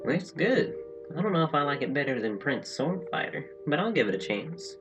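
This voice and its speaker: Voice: mid-range voice, big pitch swings, normal speed, lightly acted. A performer voicing an earnest young pony colt with a casual, folksy way of talking in an audio story reading. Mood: mildly approving. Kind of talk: acted audio fanfic reading. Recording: dull lo-fi home recording, music underneath.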